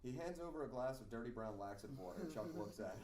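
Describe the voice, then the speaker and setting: A man reads out stage directions as the narrator of a script reading. His voice is medium in pitch, a little away from the mic in an echoey room. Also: animated, fast. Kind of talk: reading screenplay stage directions at table read. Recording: echoey room, distant, faint noise.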